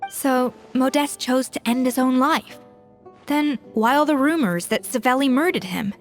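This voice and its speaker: A woman's voice: high-pitched, normal speed. An actress playing a composed, analytical young woman in a video game, speaking seriously and articulately. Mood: pensive. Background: music.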